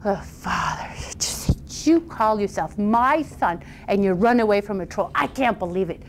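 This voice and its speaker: A woman's voice: medium pitch, lively intonation, unhurried, a little hiss or hum, close to an everyday voice. Lady doing a grumpy woodcutter dad, sixty, scolding his kids, folktale. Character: stern sixty-year-old woodcutter father, scornful.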